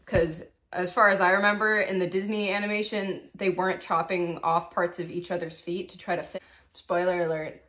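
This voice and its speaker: A young woman with a fairly low voice speaks as a video host in a bedroom. She is candid and informal, moving between casual chat and reading aloud. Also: level tone, normal speed, fairly bright tone, reverberant. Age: 20s. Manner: candid, swears freely, breaks off into asides. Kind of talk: casual vlog talk and storybook reading. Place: small bedroom, indoors.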